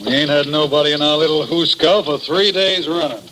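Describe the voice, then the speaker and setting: An actor with an American accent playing a cheeky, envious small-town jailer, wisecracking and folksy in a radio crime drama. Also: male voice, a little high, brisk, close to an everyday voice. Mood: needling, mocking. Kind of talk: old-time radio crime drama acting. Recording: noisy old radio drama recording.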